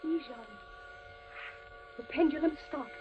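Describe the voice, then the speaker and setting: A devoted sweetheart pleading in melodramatic 1940s radio fashion. The voice is extremely high and urgent. The recording is dull, with clear noise over it. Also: female voice, takes it slowly. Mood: hushed, anxious. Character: Beatrice, devoted, pleading young sweetheart of a prisoner. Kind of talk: 1940s radio drama acting.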